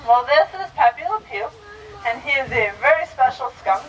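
A woman's voice: sits high, lively intonation, normal speed. A woman guiding a zoo train tour outdoors, quizzing kids and coaxing animals out into view. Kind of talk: guided tour talk to riders. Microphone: handheld microphone over a train speaker, heard from the camera. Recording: distant voice with outdoor noise.

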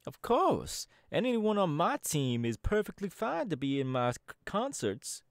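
A man's voice: sits high, big pitch swings, a little slow, no room sound, studio quality, heavily acted. A man voice acting a laid-back young male DJ gang boss in an ASMR audio roleplay, talking in an easygoing, chatty drawl. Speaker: voice actor.

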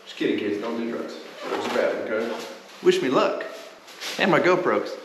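Excited young man in an echoey empty room. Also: medium pitch, normal speed, noisy background. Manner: excited, chatty, slangy. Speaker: vlogger doing home renovation. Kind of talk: casual how-to vlog. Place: large empty unfinished room indoors. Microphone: action camera held at arm's length.

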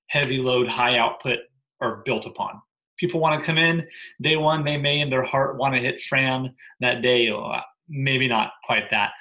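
A man in his thirties, a gym programming coach, briefing gym owners from a living room on his laptop webcam, emphatic and energetic with frequent "right?" asides. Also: medium pitch, rough recording.

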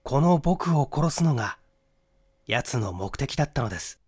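Man playing a lively, confident young amateur detective. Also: medium pitch, moderate pace, slightly rough. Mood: methodical, self-assured. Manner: explanatory, methodical, rhetorical questioning. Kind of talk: Japanese mystery audiobook acting.

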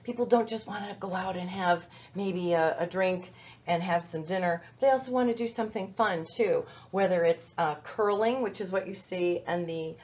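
A middle-aged woman presents a virtual training in a relaxed, conversational way, often pausing on fillers and restarting her phrases. Her voice sits a little low for a woman. The recording is dull and lo-fi, like webinar audio. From United States. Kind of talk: webinar presentation over slides.

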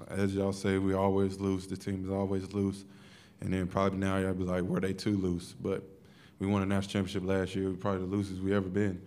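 A man's voice: low voice, completely flat delivery, fast talker, darkish tone. A man in his twenties, a college athlete giving casual, colloquial answers at a press conference. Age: 20s. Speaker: college basketball player. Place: press conference room, indoors. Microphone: table microphone.

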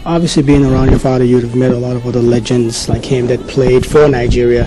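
A man's voice: mid-range voice, steady tone, quick pace, music in the background. A man asking sports interview questions in a friendly, conversational way into a handheld mic. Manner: friendly, conversational, hesitant fillers.

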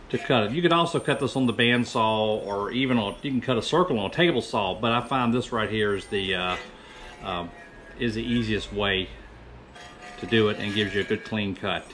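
A man's voice: lowish voice, moderate pace, long pauses, fairly bright tone, a little hiss or hum. A man in his fifties giving a casual, rambling voice-over as a woodworker, often correcting himself. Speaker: woodworker presenting own project. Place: small indoor woodworking workshop.

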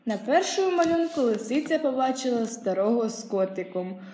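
A young woman narrates a children's storybook. Her voice is fairly high with a mellow tone, heard in an echoey room. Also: expressive, a little fast. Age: young adult. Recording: roomy indoor echo.

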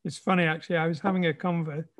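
Guy with a high voice hosting a relaxed chat on a call, polite and appreciative. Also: rapid-fire. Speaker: conversation host. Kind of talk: casual conversation. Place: remote call.

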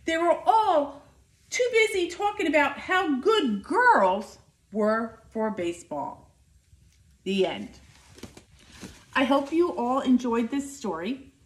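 Female storyteller for children, very lively intonation, unhurried and emphatic, recorded in an echoey room. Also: sits high. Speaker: school nurse reading aloud to children. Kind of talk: animated children's picture book read-aloud. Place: living room by a fireplace, indoors. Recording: roomy, echoing indoor sound.

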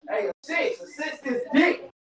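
A man's voice: very high voice, sing-song, normal speed, crowd noise. A young man joking and trash talking with friends, heard from across the room. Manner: loud teasing, trash talk. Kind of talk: casual joking banter.

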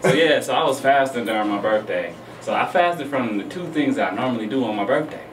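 A man's voice: medium pitch, lively intonation, fairly quick, distant mic. A young man speaking casually as a musician guest in a radio studio interview. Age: young adult. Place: small radio studio, indoors. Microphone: studio microphone on boom arm. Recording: roomy studio, noisy, off mic.

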